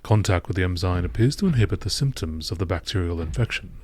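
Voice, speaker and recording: A man with a low-pitched voice delivers scripted narration in the manner of a nature documentary. His reading is measured and clinical, keeping a matter-of-fact tone throughout. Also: animated, darkish tone, slight background noise. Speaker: narrator reading game lore entries.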